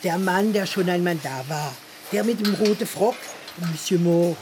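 A woman's voice: on the low side, moderate pace, noisy background, close to an everyday voice. An actress playing a chatty, gossipy farm woman in a radio play, with a French-tinged Alsatian accent in her German and French phrases slipped in. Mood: teasing, curious. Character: gossipy village farm woman, plain-spoken neighbour in the cowshed.

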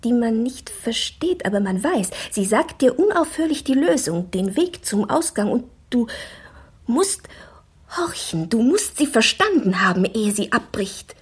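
An actress playing an anxious young woman in a radio drama, speaking in a hushed, halting inner monologue that is often whispered. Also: on the high side, sing-song, slightly rough, full character voice. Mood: troubled, intimate. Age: young adult.

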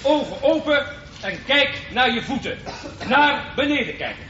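High-voiced, bossy swimming teacher. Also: male voice, sing-song, a little slow, sharp tone, full character voice. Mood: bossy, jocular. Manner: commanding, jocular, teasing. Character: brisk, teasing, bossy male swimming teacher. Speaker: swimming instructor. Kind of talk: radio drama acting. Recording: echoey, dull, noisy pool-like acoustic.

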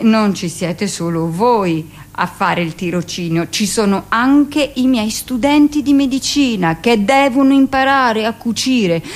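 An actress playing a hardened middle-aged hospital midwife, speaking a dramatic monologue in a deliberate, confiding, matter-of-fact way. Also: female voice, a little high. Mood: stern, lecturing. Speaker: actress performing a radio monologue. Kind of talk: dramatic first-person theatrical monologue.

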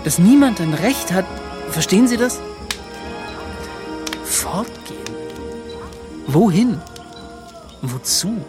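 Earnest, higher-voiced young adventurer. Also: male voice, big pitch swings, slow, long pauses, heavily acted. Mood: fervent, moved. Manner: impassioned, emphatic, breaks into short repeated questions. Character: earnest, excitable young adventurer recounting his ordeal. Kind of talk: German radio play acting. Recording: music under the voice.

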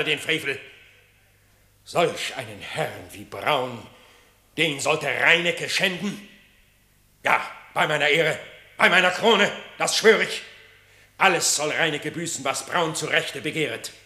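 Brief: commanding animal king, forceful, measured, solemn verse, classic radio fable, roomy echo. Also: on the high side, expressive. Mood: vengeful. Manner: solemn, emphatic regal declamation. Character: stern, indignant male animal king, proud and commanding. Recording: roomy, echoing studio radio recording.

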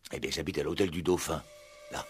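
A man's voice: very deep voice, steady tone, a little fast, a little hiss or hum. A man playing an uneasy, evasive salesman under questioning in a 1960s French radio play, hesitant and guarded. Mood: evasive, defensive. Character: evasive, uneasy male suspect, a medical salesman.

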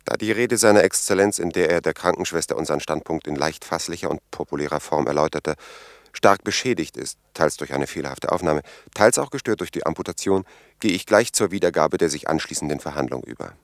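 An actor playing a precise, officious secretary who reports on a diplomatic mission in a detached way in a 1950s radio play. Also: male voice, a bass voice, brisk, no echo, studio quality. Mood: dry, detached. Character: dry, correct secretary recording a diplomatic mission.

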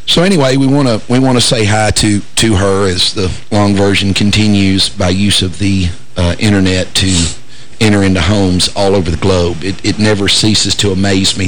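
A man with a hoarse, raspy, somewhat low voice hosts a talk radio show. He talks in a casual, wry way, throwing in jokes and stumbling through fillers and restarts. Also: a bit bright, a little hiss or hum, rough recording. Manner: wry, joking asides, frequent fillers and restarts.

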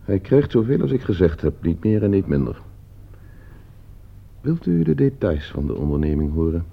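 An actor playing a coldly scheming gentleman heir in a radio play, suave, calculating and condescending. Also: deep voice, sing-song, normal speed, creaky, breathy edge, exaggerated voice. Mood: businesslike, menacing. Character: coldly scheming, broke gentleman heir plotting his uncle's murder. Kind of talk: Dutch radio play acting. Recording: muffled old radio play recording.

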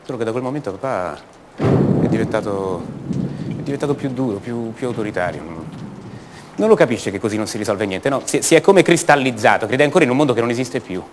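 Actor as restless, halting, fast-talking young man. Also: medium pitch, animated, noisy background, close to an everyday voice. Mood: bitter, resigned. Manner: hesitant, halting, repeats words, rhetorical tags. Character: restless, defensive young man from a privileged family. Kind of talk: theatrical monologue, radio drama.

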